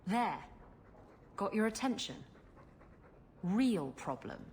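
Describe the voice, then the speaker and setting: A woman's voice: medium pitch, big pitch swings, slow pace, darkish tone, slight background noise. An actress playing a sarcastic, evasive female pirate rogue. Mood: teasing. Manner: teasing, evasive.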